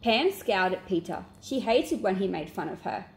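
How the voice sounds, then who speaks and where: Young woman, storybook reader, medium pitch, home camera sound with some background noise. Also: big pitch swings, normal speed, vocal fry, low-quality recording. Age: young adult. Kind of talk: reading a children's novel aloud. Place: small indoor room at home.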